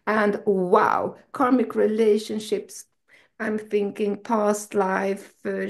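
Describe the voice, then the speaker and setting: Casting: woman host, gravelly edge, eager and gushing. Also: mid-range voice, a little mellow. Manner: enthusiastic, effusive. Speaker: festival host interviewer. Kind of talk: casual interview.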